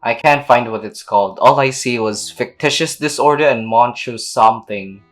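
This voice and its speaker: A woman's voice: very deep voice, moderate pace. A woman streaming a game, reacting in casual slangy commentary and reading dialogue aloud. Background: faint game music.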